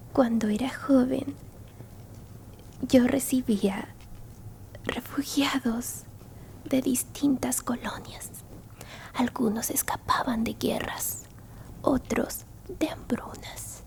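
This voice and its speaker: A woman's voice: a little high, vocal fry, darkish tone, poor audio. A woman playing a kindly old alien grandmother in a whispered ASMR roleplay, tenderly and unhurriedly comforting a lost human. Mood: tender, consoling. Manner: tender, unhurried, reassuring, near-constant whisper.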